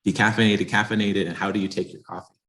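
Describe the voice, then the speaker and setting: A man's voice: on the low side, fairly even intonation, quick pace, a bit bright, rough recording. A man hosting an interview, asking loose, rambling questions with fillers. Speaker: interview host.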